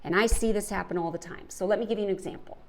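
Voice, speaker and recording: A woman's voice: mid-range voice, fairly even intonation, racing. Emphatic woman teaching storytelling. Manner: emphatic, explains with questions and examples. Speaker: podcast host and writing teacher. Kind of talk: podcast lesson on storytelling.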